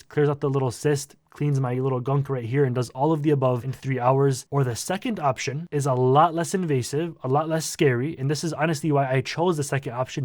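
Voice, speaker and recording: A young man with a stuffed-up, nasal voice tells his story in a loose, animated vlog style, full of slang. His voice sits at a middle pitch. The sound is dry and close. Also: no echo. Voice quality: nasal, congested. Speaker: vlogger.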